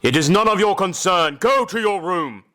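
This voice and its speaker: A man playing a brooding, commanding opera ghost in an audio drama, speaking in a theatrical, deliberate way. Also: high voice, animated, bright, forceful tone. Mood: menacing. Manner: slow, theatrical, deliberate delivery. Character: brooding, menacing masked male opera ghost, commanding and bitter. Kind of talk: amateur audio drama acting.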